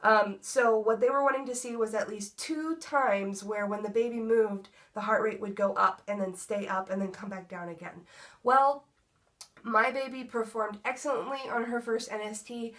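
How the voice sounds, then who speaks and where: A woman's voice: a little high, moderate pace, fairly bright tone. A young woman vlogging a casual update, her voice congested from a cold, chatty with many ums and likes. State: congested, stuffy nose. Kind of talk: casual pregnancy update vlog.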